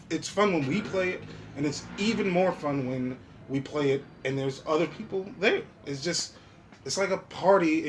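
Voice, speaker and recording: A man in a casual podcast chat, talking in an informal, slangy way with plenty of swearing. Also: fairly high pitch. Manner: profane, slangy, informal talk. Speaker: podcast host. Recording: clear background noise.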